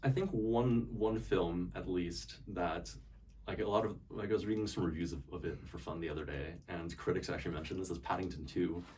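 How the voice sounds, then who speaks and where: A young man with a deep, low voice answers interview questions thoughtfully, stopping now and then to find the right words. He sits a little away from the microphone, so he sounds somewhat distant. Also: fairly even intonation, fairly quick, a little mellow. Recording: voice picked up from a distance.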